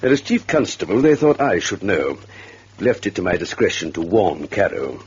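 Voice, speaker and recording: A man playing a grave, gentlemanly chief constable with an English accent, speaking hesitantly in a radio drama. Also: sits low, very animated, normal speed, husky, exaggerated voice. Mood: grave concern. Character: gentlemanly, concerned male county chief constable. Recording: muffled old radio recording.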